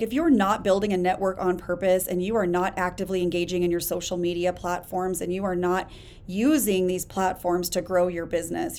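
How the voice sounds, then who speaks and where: A woman hosting a solo podcast, talking in an energetic, emphatic, conversational way with a hoarse voice while she gets over a chest illness. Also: on the low side. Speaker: podcast host. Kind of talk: casual solo podcast talk.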